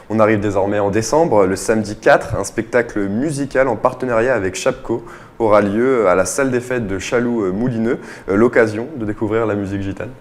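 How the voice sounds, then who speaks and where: A man hosting a radio interview, introducing segments and putting questions to a guest. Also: lowish voice. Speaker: radio host.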